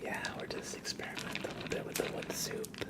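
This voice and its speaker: Whispering male ASMR streamer. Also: quick pace. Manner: relaxed, soft-spoken. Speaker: ASMR livestream host. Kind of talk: whispered ASMR, casual livestream chat. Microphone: close sensitive ASMR microphone.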